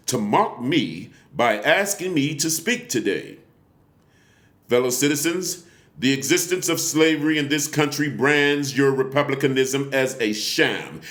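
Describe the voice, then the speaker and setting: An actor playing an indignant, solemn nineteenth-century abolitionist orator, declaiming a formal speech deliberately with dramatic pauses and emphasis. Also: male voice, medium pitch, fairly bright tone. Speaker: actor reading a historical speech. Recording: echoey, roomy sound.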